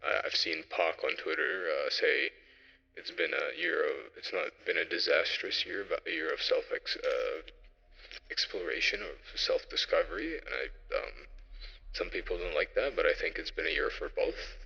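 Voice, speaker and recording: A young man presenting an informal solo audio log, speaking haltingly and reflectively as he thinks aloud, through a radio-style effect. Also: sits low, big pitch swings, creaky, bright, forceful tone, breathy edge, in a large hall, lo-fi sound. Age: young adult.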